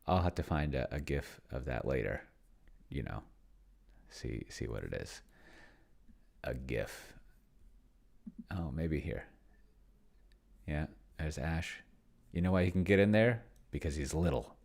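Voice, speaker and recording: A man hosting a casual solo gaming session, thinking aloud and muttering to himself, sometimes slowing to dictate as he types. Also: extremely low pitch, animated, vocal fry, a little mellow. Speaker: solo tabletop gamer and video host. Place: indoors at a computer, keyboard clicks behind.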